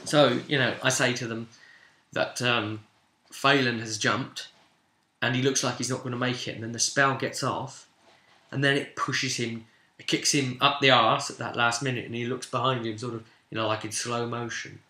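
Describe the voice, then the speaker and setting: A British man casually recounting a tabletop game as its game master, in an animated storytelling way. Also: mid-range voice, moderate pace. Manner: animated, acts out players' remarks in quotes. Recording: roomy, echoing room sound.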